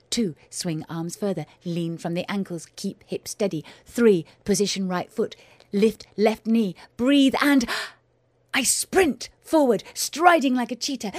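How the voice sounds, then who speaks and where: A woman's voice: fairly high pitch, no echo. Intense woman narrating, dry and close. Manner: intense, measured, drops to hushed whispers. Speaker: writer and performer. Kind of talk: first-person audio drama narration.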